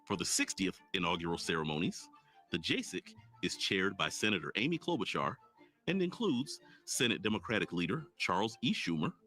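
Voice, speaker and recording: A man with a very low voice but a bright, forceful tone narrates in a measured, formal way. His pitch moves widely as he reads. Soft music plays behind him. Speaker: narrator of an informational video. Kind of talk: scripted documentary narration.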